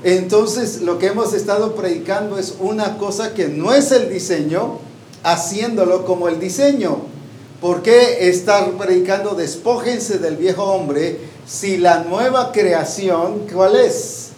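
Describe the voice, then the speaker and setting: A man's voice: high voice, measured pace, a little mellow. A man preaching a sermon to a congregation, often asking them questions and waiting for their answers. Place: church hall with a congregation. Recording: echoey hall with faint background noise.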